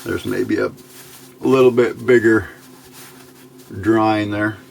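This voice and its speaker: Halting man explaining over background noise. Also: lowish voice, steady tone, vocal fry, a little mellow. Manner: halting, thinking aloud. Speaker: hobbyist radio builder giving a tutorial. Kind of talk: casual unscripted technical explanation. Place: indoors at a worktable. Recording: noisy amateur home recording.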